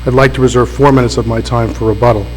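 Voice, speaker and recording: A man with a cold, serving as defense attorney and arguing an appeal in formal, deliberate speech that sometimes stalls under questioning. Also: medium pitch, fairly even intonation. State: has a cold. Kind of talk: appellate oral argument. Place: courtroom. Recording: roomy courtroom with faint mains hum.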